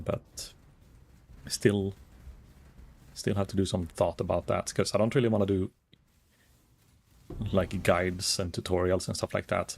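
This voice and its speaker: A calm, soft-spoken man chatting casually while streaming a game, rambling and thinking aloud with many fillers. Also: low-pitched, vocal fry, a little hiss or hum. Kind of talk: casual gaming stream chat.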